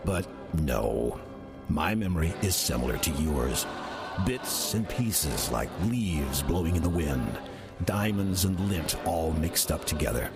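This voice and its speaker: An actor playing a sly, ancient demon posing as an old church usher, wry and sardonic, with an American accent, in an audio drama. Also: male voice, a bass voice, lively intonation, over loud music, full character voice. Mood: sardonic musing. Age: older. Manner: wry, sardonic, deliberate storytelling. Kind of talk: audio drama first-person narration.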